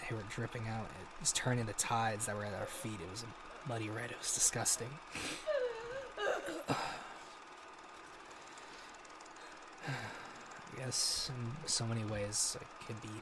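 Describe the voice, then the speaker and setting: An actor playing a haunted American war veteran, recounting grim horrors haltingly in an audio drama log. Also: male voice, fairly low pitch, gravelly, very noisy. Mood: weary, sorrowful. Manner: halting, sighing, hesitant delivery.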